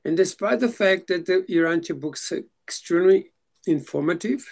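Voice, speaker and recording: A man whose first language is Slovak, leading a casual reading group chat and speaking haltingly, with frequent restarts. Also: high-pitched, gravelly, darkish tone. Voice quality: halting, many restarts and fillers.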